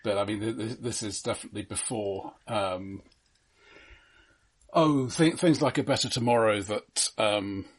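A man's voice: lowish voice, a little slow, husky. A man co-hosting a podcast, discussing film casually and stammering over the starts of his phrases. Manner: stammering, repeating word starts. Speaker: podcast co-host.

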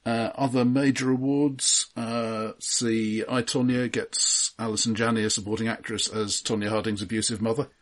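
A man with a husky voice co-hosting a film discussion podcast, listing results and giving dry, understated opinions. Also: medium pitch, level tone, normal speed, few pauses. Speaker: podcast co-host. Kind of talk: film discussion, reading out awards and box office lists.

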